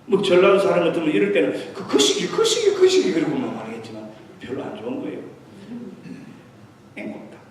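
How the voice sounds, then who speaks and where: Animated pastor in echoey church. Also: male voice, on the high side, fairly quick, a little hiss or hum. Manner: animated, anecdotal, mixes English terms. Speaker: guest revival pastor. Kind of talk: storytelling sermon. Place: church sanctuary. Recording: echoey church hall from far off.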